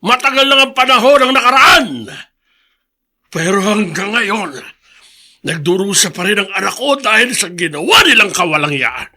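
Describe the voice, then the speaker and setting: A man playing a stingy, bitter old man in a radio drama, scolding with a harsh, sharp tongue. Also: high-pitched, expressive, moderate pace, exaggerated voice. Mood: bitter anger. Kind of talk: Filipino radio drama acting.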